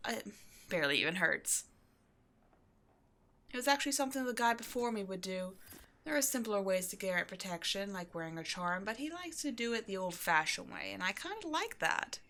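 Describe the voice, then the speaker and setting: A woman's voice: medium pitch, pushed and bright. A woman playing an upbeat, quirky shop owner in an ASMR roleplay, talking casually and breezily, offhand about eerie things. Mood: breezy, reassuring. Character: upbeat, quirky young woman running a mysterious occult shop. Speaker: shop owner character speaking to a new employee. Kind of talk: ASMR roleplay, casual one-sided conversation.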